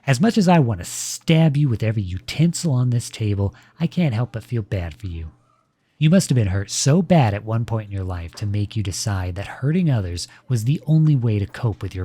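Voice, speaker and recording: A man playing a protective boyfriend in a scripted audio roleplay, with measured, formal, pointed phrasing, polite but cutting. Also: lowish voice, dark tone, husky, no echo.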